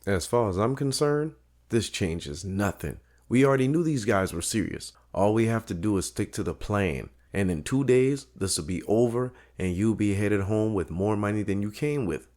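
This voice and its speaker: Deep-voiced, steady streetwise barber played by a man. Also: normal speed, close to an everyday voice. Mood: calm, resolute. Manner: firm, streetwise, plain-spoken. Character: steady, guarded barbershop owner taking on mobsters. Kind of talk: audio drama dialogue.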